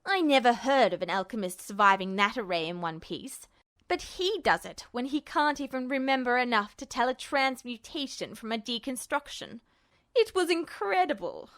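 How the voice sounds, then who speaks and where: A woman's voice: on the high side, big pitch swings, moderate pace, fairly bright tone. Woman voicing an unhinged, gloating male villain. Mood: cold wonder. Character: unhinged, bitter, smug male villain, a rogue alchemist. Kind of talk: audiobook-style character acting.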